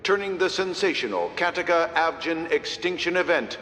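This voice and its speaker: Official news announcer in a game, measured, bit high, reverby. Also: male voice, expressive, pushed and bright, slight background noise. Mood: formal, measured. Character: formal male announcer of an official proclamation. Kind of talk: formal scripted announcement, video game. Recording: reverberant, faint background ambience.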